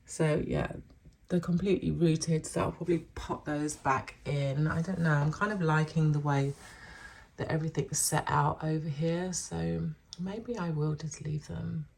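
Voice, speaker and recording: Low-voiced woman, snuffly with a cold. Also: fairly even intonation, unhurried, vocal fry, darkish tone. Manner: rambling, chatty, self-correcting. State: stuffy with a cold. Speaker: plant vlogger. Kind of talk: chatty plant care vlog. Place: home room with houseplants.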